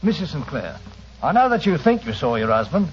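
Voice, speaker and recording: A plain-spoken police sergeant puts brisk, polite questions in a high, very varied voice. It is an old radio drama with a dull, slightly hissy sound. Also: male voice, sing-song. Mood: businesslike, probing.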